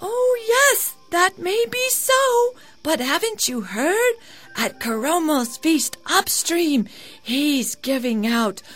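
A man's voice: extremely high pitch, big pitch swings, slow pace, bright, forceful tone, dry recording, faint music behind, voice pushed up, heavily acted. A woman storyteller voicing a cheerful boatman in a folktale, with a teasing, lilting delivery. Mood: knowing. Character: cheerful passing boatman spreading news of feasts.

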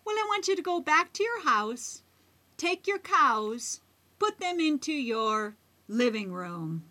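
Brief: patient wise woman, put on by a woman storyteller, high and lively, unhurried. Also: full character voice. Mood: advising. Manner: patient, matter-of-fact instructions. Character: calm old wise woman giving advice.

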